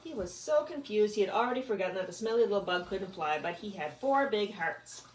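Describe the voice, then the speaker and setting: A woman narrates a picture book with lively, swooping intonation, slipping into casual questions for a child. She sounds a bit away from the mic in an echoey room with faint noise. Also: mid-range voice, fairly quick, far from the mic. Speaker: parent reading to child. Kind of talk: expressive storybook narration with casual chat. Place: at home.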